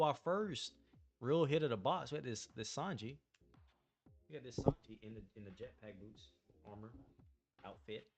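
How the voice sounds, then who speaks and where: A man's voice: lowish voice, a little mellow. A young man streamer chatting casually to his live chat in slangy, laid-back talk while opening trading cards. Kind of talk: casual livestream card-opening commentary.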